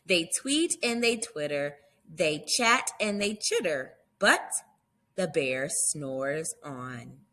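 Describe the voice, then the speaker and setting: A woman reading a picture book aloud for young children in an animated, dramatic storytelling manner, drawing out key words. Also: deep voice, slow pace. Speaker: children's read-aloud host.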